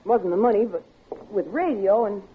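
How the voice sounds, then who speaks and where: A woman's voice: mid-range voice, slightly rough, lightly acted. An actress playing a brash, chatty young vaudeville showgirl in a 1950s radio drama. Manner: chatty, brassy, quick to snap. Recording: tinny old radio broadcast with faint hiss.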